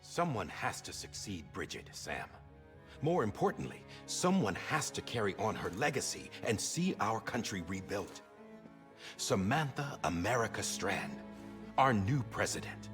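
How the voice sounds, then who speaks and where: An actor playing a solemn, idealistic government official in a video game, talking in a measured, speechifying way. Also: male voice, lowish voice, sing-song, over music. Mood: stately. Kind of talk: video game cutscene acting.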